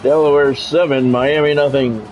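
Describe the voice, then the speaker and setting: A man's voice: mid-range voice, steady tone, moderate pace, a little mellow. A man livestreaming a game, mixing casual, opinionated chat with sports play-by-play commentary. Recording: dull lo-fi audio, music behind. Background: music.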